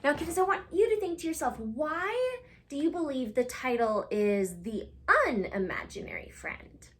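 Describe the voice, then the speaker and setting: A woman reading a picture book aloud for children, expressively and with dramatic pauses, speaking directly to her young listeners. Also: high-pitched, sing-song. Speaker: children's story reader.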